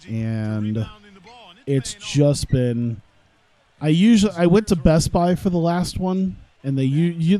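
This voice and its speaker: A man's voice: medium pitch, mellow. A man chatting casually while playing a video game at his computer, rambling with lots of fillers, stuffed up from his sinuses. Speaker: gaming YouTuber. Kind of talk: casual gameplay commentary. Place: home room at his computer.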